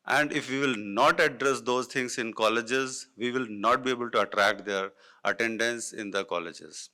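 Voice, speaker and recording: A man's voice: medium pitch, pushed and bright. A middle-aged man from north India, a native Hindi speaker with an Indian English accent, giving unscripted panel remarks full of fillers and restarts. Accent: Indian English, Hindi-influenced. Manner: halting, many fillers and restarts.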